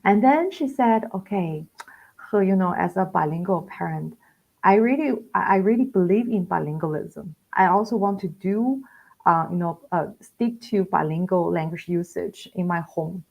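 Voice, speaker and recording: A woman's voice: fairly low pitch, lively intonation, moderate pace, vocal fry, muffled recording. A woman education researcher speaking as a guest in a live online interview, explaining her work with many fillers and restarts.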